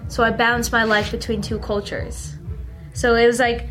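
Teen girl guest rambling casually, kinda high voice, lots of "like", faint music behind. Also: level tone, normal speed, fairly bright tone. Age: late teens. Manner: chatty, lots of "like" fillers, upbeat. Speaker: interview guest, social media creator. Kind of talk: casual interview chat.

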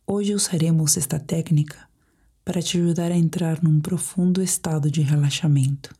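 Brazilian lady doing sleep meditation, low soft voice, super breathy and whispery, calm n measured. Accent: Brazilian Portuguese. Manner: slow, calm, soothing, measured. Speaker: meditation guide.

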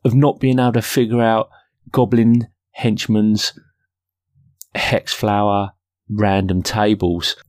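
A man's voice: medium pitch, a little mellow, no echo, studio quality. A man hosting a podcast, talking casually and informally in a self-deprecating way. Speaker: podcast host. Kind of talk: casual solo podcast talk.

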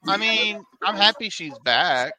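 A man's voice: sits high, animated, unhurried, crowd noise. A man co-hosting a live wrestling watch-along podcast, reading out viewer comments and laughing often. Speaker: podcast co-host. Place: noisy bar during a live show.

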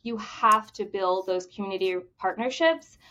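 A woman from the Bahamas answering interview questions with enthusiasm, talking quickly and often restarting her phrases. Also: medium pitch, pushed and bright. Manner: enthusiastic, fast talker with repeated restarts. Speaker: credit union executive, podcast guest. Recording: dull lo-fi audio.

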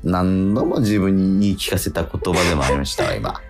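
A man's voice: deep voice, normal speed, soft background music. A man hosting a radio show, chatting casually about acting in an earnest, self-questioning way with frequent affirming interjections. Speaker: radio show host and stage actor. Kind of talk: casual radio talk between actors.

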